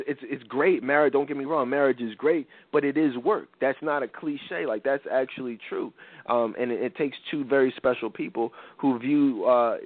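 A man's voice: medium pitch, no echo. A man hosting a talk show, speaking congested from a cold, rambling with many restarts and fillers. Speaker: podcast host. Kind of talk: casual radio-show talk. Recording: tinny, dry phone-like sound.

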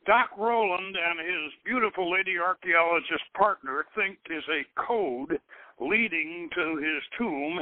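A man's voice: high voice, no echo. Man reading over a tinny phone line. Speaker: radio show host and author. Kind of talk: scripted announcement and dramatic reading of a novel.

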